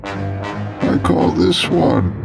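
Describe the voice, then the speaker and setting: Menacing male villain, grand horror storyteller, gravelly, wildly swinging pitch, radio-play drama, music underneath. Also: big pitch swings, exaggerated voice. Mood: grand menace. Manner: grandiloquent, dramatic, drawn-out delivery. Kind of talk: theatrical horror storytelling, classic radio style.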